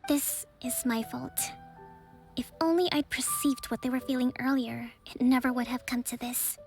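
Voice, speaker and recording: Brief: gentle, measured young leader, fairly high, faint game music underneath. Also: female voice, gravelly. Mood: composed. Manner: calm, measured, soft-spoken. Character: young female island leader, calm strategist. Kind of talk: video game voice acting.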